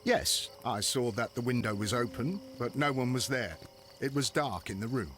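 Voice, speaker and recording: An actor playing an earnest Victorian police constable with an English accent, reporting matter-of-factly in a video game drama. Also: male voice, medium pitch, animated, moderate pace, fairly bright tone, a little hiss or hum, lightly acted. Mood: earnest, factual.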